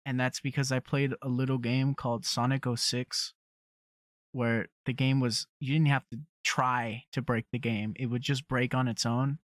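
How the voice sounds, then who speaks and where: A man's voice: mid-range voice, level tone, moderate pace, no room sound. A young man co-hosting a casual podcast, teasing and blunt, swearing casually. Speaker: podcast co-host. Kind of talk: casual chat.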